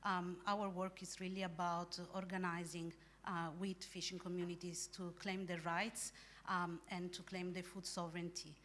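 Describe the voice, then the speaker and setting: A woman from Italy with an Italian accent, introducing herself as a panelist with measured speech and frequent fillers. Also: fairly low pitch, flat delivery, fairly bright tone. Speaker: panelist, fisher rights organizer. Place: conference hall.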